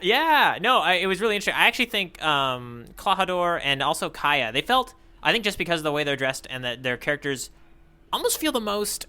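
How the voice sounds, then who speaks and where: A man with a very bright, forceful and smooth voice, chatting on a podcast. He rambles, restarts his sentences and leans on fillers as he works through a thought. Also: a little high, big pitch swings, a little fast. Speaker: podcast co-host. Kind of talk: casual chat.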